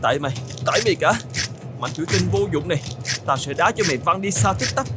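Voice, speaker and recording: A man voicing an excitable, self-pitying young male camel in an animated fairy tale, exclaiming and talking to himself. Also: a little high, fast talker, loud music behind the voice, natural voice. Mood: angry, blaming. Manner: exclamatory, whining, talks to himself.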